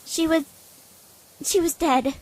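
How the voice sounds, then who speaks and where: A woman's voice: very high voice, fairly even intonation, slow, voice pushed up, low-quality recording. A woman voicing a scared, injured young female pegasus pony in an audio drama, whimpering and pleading. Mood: shaken, dread. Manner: whimpering, pleading, hushed at times.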